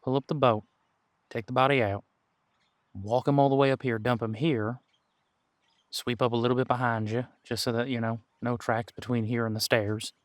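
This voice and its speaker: A man playing a seasoned, wry detective who patiently mentors a rookie in an audio roleplay drama, musing aloud in unhurried, folksy phrasing. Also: mid-range voice, steady tone, dry recording, close to an everyday voice. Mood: intrigued. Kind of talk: roleplay audio drama, one-sided conversation.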